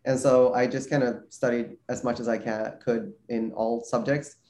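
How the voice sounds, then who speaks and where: A man's voice: mid-range voice, fairly even intonation, normal speed, darkish tone, roomy sound. A man from Sweden, a guest on a video call interview, talking casually with many fillers and restarts. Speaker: guest researcher.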